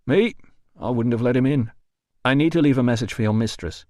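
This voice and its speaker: A man's voice: medium pitch, brisk, a little mellow, no room sound, studio quality, natural voice. A man voicing a weary, patient doctor who speaks firmly and briefly. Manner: firm, tired, clipped.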